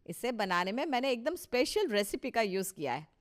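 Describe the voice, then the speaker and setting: A woman's voice: fairly high pitch, big pitch swings, brisk. A woman playing a proud, touchy older mother-in-law in a cartoon story, talking emphatically and with self-satisfaction. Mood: proud, gracious. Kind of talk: Hindi cartoon story dialogue.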